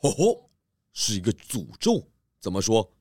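A man's voice: fairly low pitch, huge pitch swings, a little slow. A man voicing a jolly male pig detective in a kids' audio drama, cheerful and greedy for food.

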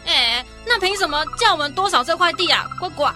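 A woman's voice: very high voice, lively intonation, fairly quick, sharp tone, soft background music, exaggerated voice. A woman playing a feisty, complaining frog patrol member in a children's radio drama. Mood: indignant.

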